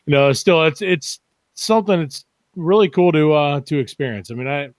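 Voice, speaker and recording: A man, a guest on a sports podcast, talking in a halting, reflective way full of fillers. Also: on the high side, expressive, dry recording. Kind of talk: casual chat.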